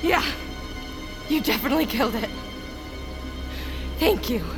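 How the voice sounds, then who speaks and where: A protective fighter in a sci-fi thriller, high in pitch. Her lines are short and commanding, set against loud music. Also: lively intonation, unhurried. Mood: relieved. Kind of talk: audio drama acting.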